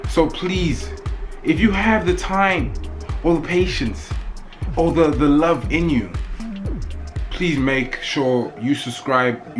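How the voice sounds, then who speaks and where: A young man with a South African accent, talking casually and rambling a little in a one-take vlog. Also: mid-range voice. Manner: chatty, rambling, loose and playful. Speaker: vlogger.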